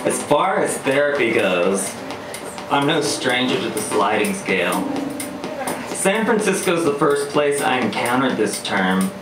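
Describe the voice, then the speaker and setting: A man delivers spoken word live in a wry, theatrical manner. His articulate storytelling sounds roomy and a bit far from the mic, with electronic music clearly playing behind him. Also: medium pitch. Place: live performance venue. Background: electronic beats and music.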